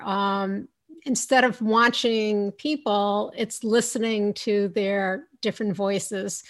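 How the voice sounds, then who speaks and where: A woman hosting an interview, asking questions haltingly with many fillers and restarts. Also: medium pitch. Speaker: interview host.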